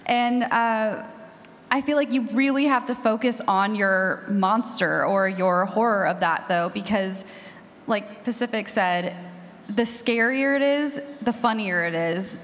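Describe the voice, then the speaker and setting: A woman's voice: medium pitch, fairly bright tone. A woman speaking as a panelist in a live discussion, informal and thoughtful. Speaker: panelist, horror fiction podcaster. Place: large echoey room at a convention panel. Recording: echoey hall.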